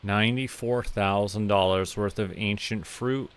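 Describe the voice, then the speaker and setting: A young man from Canada giving relaxed, musing commentary as he plays, often talking to himself. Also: fairly low pitch, a little hiss or hum. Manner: slow, musing, talks to himself while playing. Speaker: VTuber streamer.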